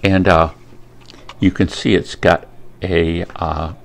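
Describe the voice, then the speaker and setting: A man giving a casual, unscripted product review in a rambling way, pausing often to think. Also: sits low, flat delivery, gravelly.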